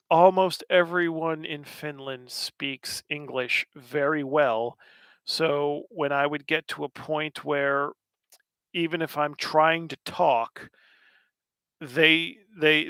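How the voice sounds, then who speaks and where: American dude rambling on a stream, kinda high voice, gravelly, lots of ums. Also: male voice, sharp tone, dry recording. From United States. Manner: rambling, many fillers and restarts, wry. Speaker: livestream host answering chat. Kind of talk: casual chat.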